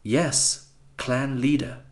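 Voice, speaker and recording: An academy elder from a fantasy audiobook, acted by a man. He talks very slowly and deliberately in a medium-pitched voice. His manner is reflective and dutiful. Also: fairly even intonation, darkish tone. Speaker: voice actor. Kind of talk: dramatised audiobook acting.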